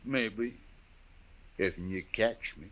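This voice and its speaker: Slow-talking, gruff frontier buffalo hunter. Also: male voice, fairly low pitch, a bit bright. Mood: sullen, defiant. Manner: slow, rustic ungrammatical drawl. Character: huge, primitive, gruff frontier buffalo hunter, simple and blunt. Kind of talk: 1950s western radio drama acting.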